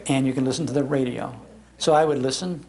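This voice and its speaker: A man's voice: medium pitch, fairly even intonation, fast talker, dark tone. An older American man telling humorous, nostalgic stories to a live audience in a folksy, emphatic way. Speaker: speaker giving a talk on old-time radio. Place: public library talk before an audience.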